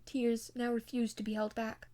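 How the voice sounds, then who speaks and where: A woman reading a classic novel aloud as an amateur audiobook narrator, with expressive scripted delivery. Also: on the high side, moderate pace.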